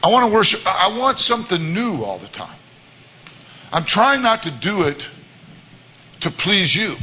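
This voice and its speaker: A man with a baritone voice giving an earnest, repetitive sermon-style talk to a church congregation. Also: sing-song, normal speed, dull audio. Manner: earnest, repetitive, many restarts. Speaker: worship leader. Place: church service.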